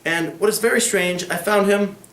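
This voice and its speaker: A young man voicing a calm, secretive older lawyer who speaks in a measured way. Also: sits high, voice pushed up. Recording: echoey room.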